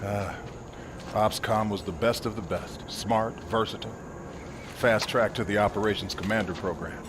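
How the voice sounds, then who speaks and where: An actor playing a seasoned, weary soldier in a video game, speaking in a wry, matter-of-fact way. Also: male voice, lowish voice, moderate pace, natural voice. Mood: grim, matter-of-fact. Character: seasoned, weary male soldier telling grim past missions. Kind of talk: video game cutscene acting. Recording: loud vehicle and outdoor noise behind.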